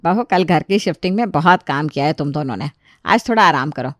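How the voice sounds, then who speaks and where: A woman's voice: low voice, expressive, a little fast, no room sound, voice pushed down. A woman acts out an older Indian family matriarch from a cartoon story, fussy and commanding. She chats and gives orders as head of the house, in a very dry, close recording. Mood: kindly instructing. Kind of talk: animated Hindi family story dialogue.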